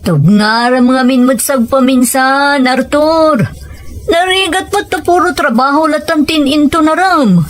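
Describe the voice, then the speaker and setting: A woman's voice: sits high, measured pace. A woman acting a young, blunt, nagging friend in an audio drama, with a Filipino accent. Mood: concerned. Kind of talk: Ilocano audio drama dialogue.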